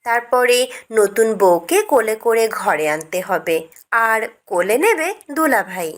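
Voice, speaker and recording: A woman voicing a naive, superstitious elder sister in an Islamic cartoon, explaining things in an animated way. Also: a little high.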